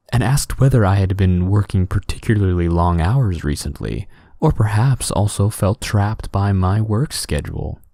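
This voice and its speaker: Soft, mellow man reading horror stories. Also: sits low, no echo, clean studio sound. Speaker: narrator. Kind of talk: scripted horror story narration, relaxing ASMR-like bedtime reading.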